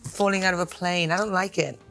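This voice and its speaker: Woman, Welsh accent, lowish voice, playful emphatic gasps, soft background music. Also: fairly even intonation, brisk. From Wales. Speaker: actress, interview guest. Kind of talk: casual rapid-fire chat.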